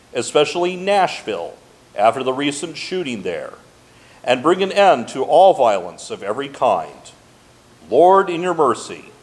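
A man with a fairly high voice leads prayer as a pastor. His pitch moves in animated rises and falls. The delivery is solemn and liturgical, set out in measured, formal phrases with pauses between them. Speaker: pastor leading congregational prayer. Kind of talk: liturgical prayer and blessing, read aloud.

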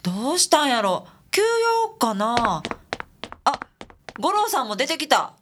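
A high, bright young assistant played by a woman with a Kansai accent. She is pert, nosy and excitable, her casual radio-play lines swooping up and down. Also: slow, a little hiss or hum, full character voice. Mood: surprised. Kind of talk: radio drama dialogue.

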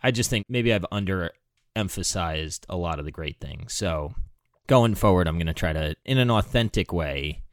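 Man, American accent, deep pitch, informal podcast host, candid chat, dry sound. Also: lively intonation, moderate pace, dry recording. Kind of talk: casual chat.

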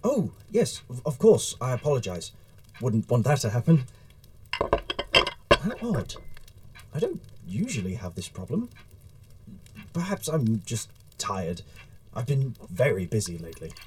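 A man playing an earnest, wordy gentleman time traveler in an audio drama, with formal period speech and a rambling manner. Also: mid-range voice, very animated, unhurried, long pauses. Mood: flustered. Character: eager, flustered gentleman author and time traveler.

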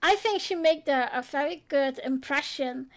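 A woman co-hosting a casual podcast chat, talking in a thoughtful way with pauses. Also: high voice. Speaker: podcast co-host.

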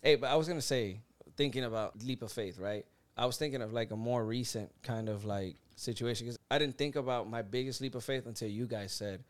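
A man from Puerto Rico tells anecdotes in a casual, slangy chat. His voice is smooth and clear, his pace is quick, and he animatedly quotes everyone in the story. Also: mid-range voice.

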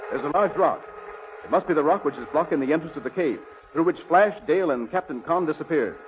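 A man voicing a loyal, dutiful alien officer in a 1930s radio serial, earnest and excitable. The old recording is tinny and noisy. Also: on the high side, fast talker, natural voice. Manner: earnest, excitable theatrical delivery.

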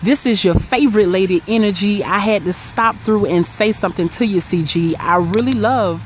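A woman giving an upbeat, warm-hearted spoken shout-out in a casual message. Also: medium pitch, moderate pace, a little hiss or hum.

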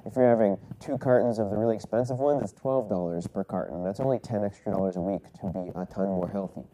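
A man's voice: lowish voice, warm tone, slight background noise. A man recovering from a cold, congested and coughing, rambling casually through his solo podcast with many fillers and self-corrections. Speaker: podcast host.

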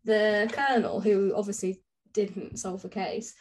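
A woman's voice: medium pitch, fairly even intonation, unhurried, darkish tone, a little hiss or hum. A woman co-hosting a podcast, talking through books casually and informally in chatty, rambling explanations. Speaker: podcast co-host. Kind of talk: casual book discussion chat.

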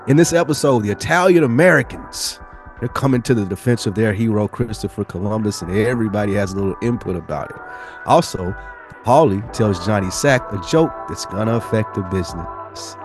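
A man's voice: lowish voice, expressive, fairly quick, music in the background. A man co-hosting a podcast, giving a casual recap. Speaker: podcast co-host.

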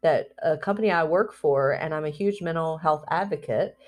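A woman talking candidly in a personal spiritual vlog, rambling and heartfelt, with fillers, restarts and soft laughs between phrases. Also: deep voice, normal speed. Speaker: spiritual vlogger.

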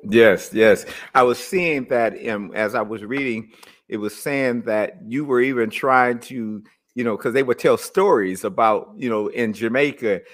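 A man's voice: mid-range voice, unhurried. Affirming male interview host. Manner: affirming, frequent mm-hmms. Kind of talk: interview questions.

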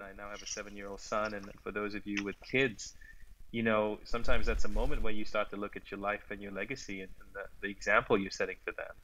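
A man's voice: fairly low pitch, pushed and bright, slight background noise, poor audio. A middle-aged man from Sri Lanka answering as an interview guest, articulate and reflective as he tells his story. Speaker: guest, marketing consultant and author.

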